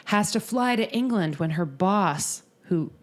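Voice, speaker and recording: A woman's voice: medium pitch, animated, measured pace. A woman co-hosting a podcast in casual chat, wry and rambling with many fillers. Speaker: podcast co-host.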